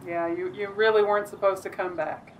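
A woman's voice: medium pitch, fairly even intonation, brisk, natural voice, rough recording. An actress plays an unimpressed middle-aged woman in an improvised soap opera. Her delivery is curt, dry and dismissive. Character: unimpressed, dismissive woman after a one night stand.

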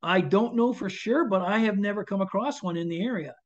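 A man's voice: sits high, brisk. An older man, a chef, answering audience questions on a video call, hesitant with many restarts and fillers. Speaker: chef and foraging instructor. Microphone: video call webcam. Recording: dull lo-fi video call audio.

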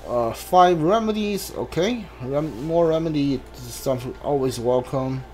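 A man's voice: a little high, lively intonation, slow, a little mellow, a little hiss or hum. A man giving casual commentary on a game he plays, often muttering questions and asides to himself. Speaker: gamer streaming a blind playthrough. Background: game music.

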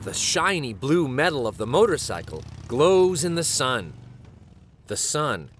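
Animated man reading a picture book. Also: mid-range voice, background noise. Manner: animated, deliberate storytelling delivery. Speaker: children's read-aloud host and storyteller. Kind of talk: expressive picture book narration.

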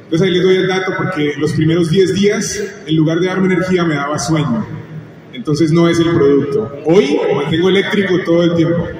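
A man from Cali telling a lively testimonial anecdote. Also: on the high side, a little fast, a crowd in the background. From Cali, Colombia. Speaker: audience member giving a testimonial. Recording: echoey hall from far off, noise.

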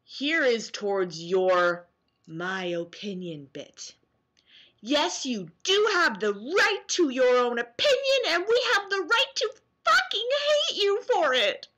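A young American woman reacting casually to comments she reads aloud, sarcastic and animated, at home on a webcam mic. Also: sits high, takes it slowly. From New Jersey, US. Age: young adult. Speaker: vlogger. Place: small room at home, indoors.

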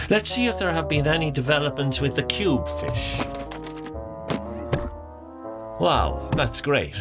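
An actor playing a pompous, excitable male nature documentary presenter in a mock-serious animated short, theatrical and self-important. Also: medium pitch. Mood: curious, intent. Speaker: narrator. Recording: music bed behind the voice.

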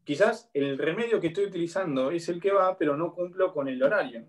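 An Argentine man in his thirties lectures from a home room with a bookshelf, speaking into a laptop webcam. His voice sits slightly high, and his delivery is explanatory. He keeps pausing to check in with his students. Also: lively intonation. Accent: Argentine Spanish. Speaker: law lecturer. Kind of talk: online university lecture. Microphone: laptop webcam on a video call.

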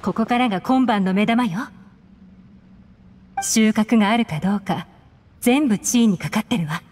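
An actress playing a cool, confident secret agent in a Japanese video game. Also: female voice, mid-range voice, moderate pace, darkish tone, close to an everyday voice. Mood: composed, expectant. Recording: long reverb, faint noise behind.

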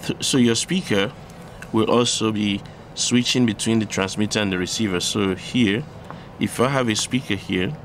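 A man presenting an unscripted electronics tutorial, explaining step by step in a halting way. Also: lowish voice, fairly bright tone. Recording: clearly audible background noise.

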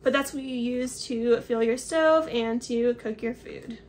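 A woman's voice: on the high side, measured pace, background noise. A woman in her twenties casually walking through her hiking gear to the camera in a small home office, explaining each item as she holds it up. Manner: chatty, explains while holding up each item. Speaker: hiking vlogger.

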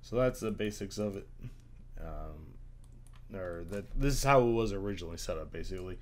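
A young man with a strained, congested voice after being sick, talking through a screen-recorded software tutorial at his desk, pausing often with "uh". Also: sits low. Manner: hesitant, many "uh" fillers. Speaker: tutorial creator.

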